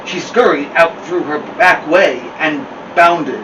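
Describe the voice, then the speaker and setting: A man reads a children's story as a host, with expressive and deliberate phrasing. His voice is fairly high, heard at some distance from the mic in a roomy space. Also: background noise. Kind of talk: animated children's picture-book reading.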